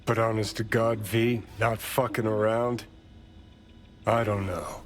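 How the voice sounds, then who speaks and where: An actor playing a jaded, foul-mouthed rebel ex-soldier in a video game, talking bluntly and sardonically. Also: male voice, lowish voice, fairly even intonation, slow. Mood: frustrated, candid. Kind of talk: cinematic video game acting.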